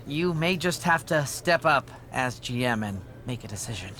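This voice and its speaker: An actress playing a cautious, deferential backstage staffer in a video game cutscene, with a tactful, tentative manner. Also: female voice, extremely low pitch, animated, normal speed, a bit bright, slight background noise. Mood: cautious, concerned. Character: cautious, deferential wrestling show staffer advising the boss.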